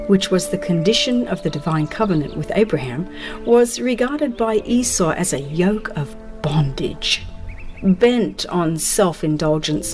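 A woman reads a religious audiobook as solemn, dramatized narration, in a measured and deliberate way. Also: on the low side. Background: music.

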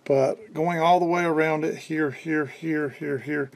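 A man in his fifties giving a casual hands-on demonstration at a workbench indoors, explaining in a halting way as he thinks aloud. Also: fairly high pitch, fairly even intonation, background noise. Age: 50s. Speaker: electronics restorer and presenter.

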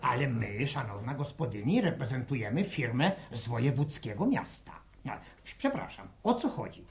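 A man's voice: medium pitch, sing-song, normal speed. An actor playing a fawning, fussy travelling portrait salesman in a 1960s radio drama, with wheedling, hesitant patter. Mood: wheedling, defensive.

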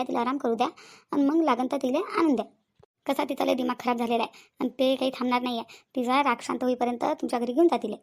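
Cartoon village auntie, voiced by a woman: middle-aged placating peacemaker, high-pitched, brisk, chatty, Varhadi Marathi accent. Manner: talkative, reassuring, repetitive persuasion. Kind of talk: comedy cartoon dialogue.

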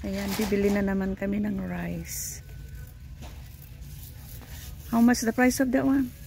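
Female vlogger, Filipino accent, mid-pitched, informal shopping chat recorded on a handheld phone, music underneath. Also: unhurried, creaky, dark tone. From Philippines. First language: Tagalog. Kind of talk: casual shopping vlog chat. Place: large warehouse store, indoors. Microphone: handheld phone camera, held by the speaker.

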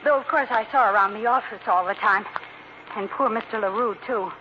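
An actress playing a polite, uneasy office worker in an old-time radio drama. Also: female voice, fairly high pitch, brisk, close to an everyday voice. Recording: dull, noisy old radio recording.

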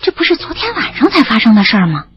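An actress playing a flustered, playful young student in love in an audio drama, tending to stammer when awkward. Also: female voice, a little high, wildly swooping pitch, fast, highs cut off. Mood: embarrassed, teasing. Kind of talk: first-person audio drama narration and dialogue.

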